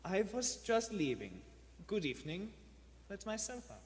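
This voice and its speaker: An actor playing a self-satisfied, pompous saboteur giving clipped orders in a radio play. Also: male voice, high-pitched, wildly swooping pitch, a little slow, full character voice. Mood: curt. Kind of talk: stage radio play acting. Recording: echoey theatre hall.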